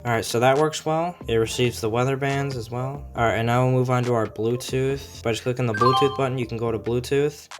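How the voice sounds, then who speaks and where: A man's voice: mid-range voice, steady tone, brisk, few pauses, over loud music. A man giving a casual, informal hands-on product review, explaining features as he goes. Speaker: gadget reviewer.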